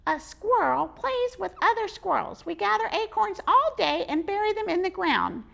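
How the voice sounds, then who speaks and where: A woman voicing an angry little male squirrel with a squeaky voice. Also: big pitch swings, normal speed, gravelly, exaggerated voice. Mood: indignant. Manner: indignant, shouting.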